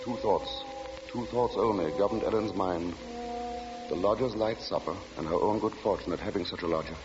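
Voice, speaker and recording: An actor playing the narrator of a radio suspense tale, telling it in a measured, ominous, literary way. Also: male voice, deep voice, music in the background, close to an everyday voice. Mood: measured, wry. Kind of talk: old-time radio drama narration. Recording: dull, noisy, reverberant old radio recording.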